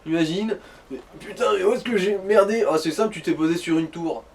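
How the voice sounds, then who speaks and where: A man's voice: high voice, a little fast. A man chatting casually as a let's play co-host, wry and given to swearing. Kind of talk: casual gaming chat. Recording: distant mic with background noise.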